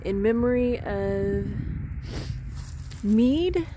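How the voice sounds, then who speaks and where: A woman's voice: fairly high pitch, lively intonation, very slow. A woman vlogging outdoors in a cemetery, musing aloud as she reads old gravestones, sounding congested. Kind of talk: casual walking vlog narration. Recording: outdoor recording with clear background noise.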